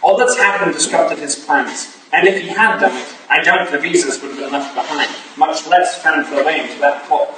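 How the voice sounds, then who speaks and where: An affable Victorian gentleman played by a man talks in a genteel, slightly flustered way, given to exclamation. The period stage reading is recorded from far off, with heavy echo and clear noise. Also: a little high, moderate pace, few pauses, natural voice. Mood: puzzled, reasoning. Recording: distant echoey room with clear background noise.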